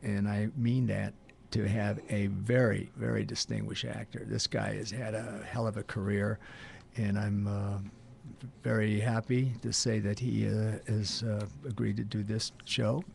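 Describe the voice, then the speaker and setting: A man's voice: fairly low pitch, flat delivery, measured pace, creaky, darkish tone, a little hiss or hum. An older man hosting a radio talk show, rambling through an introduction with many fillers and restarts.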